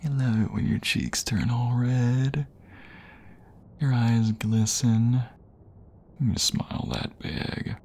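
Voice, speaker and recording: A man's voice: mid-range voice, fairly even intonation, darkish tone, a little hiss or hum. A man acting an adorkable, gushing nerdy jock boyfriend in an intimate audio roleplay, affectionate and teasing. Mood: soft, intimate. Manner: affectionate, teasing, breathy pauses and chuckles.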